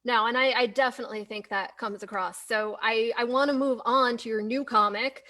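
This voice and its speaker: A woman's voice: fairly high pitch, fairly even intonation, moderate pace, pushed and bright. A woman hosting an informal conversational interview, repeating phrases for emphasis as she talks. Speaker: interviewer, host.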